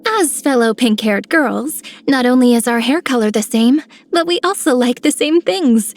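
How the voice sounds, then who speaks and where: A woman voicing a sweet, affectionate young heroine with a gentle, playful manner in a video game. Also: high voice, animated, normal speed. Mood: fond.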